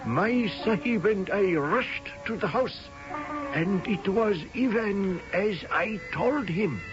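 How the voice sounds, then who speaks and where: An actor playing a deferential, solemn Indian houseboy with an Indian accent and ornate, formal phrasing in a radio drama. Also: male voice, high voice, expressive, slow. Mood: wry, storytelling. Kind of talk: radio drama acting and narrating in character. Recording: dull lo-fi old radio, music behind.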